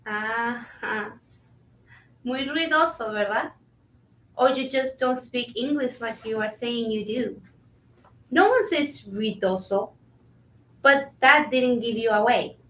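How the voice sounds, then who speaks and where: A woman's voice: fairly high pitch, slow, pauses often, a bit bright. A woman playing a frank, overworked young restaurant worker in a staged play reading, heard from a distance in a roomy space. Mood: exasperated, teasing. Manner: bilingual, switches between Spanish and English.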